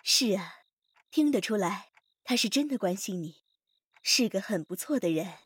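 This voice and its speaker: A woman acting a gentle, attentive confidante in an audio drama, with a husky, breathy voice. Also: mid-range voice, expressive, normal speed, no room sound. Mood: gentle, concerned. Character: gentle, attentive female confidante tending someone.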